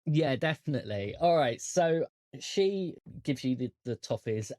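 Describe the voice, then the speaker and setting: A game master running a tabletop role-playing game at a slow, measured pace. The voice sits at a middling pitch in a very dry space. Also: lively intonation, darkish tone, no room sound.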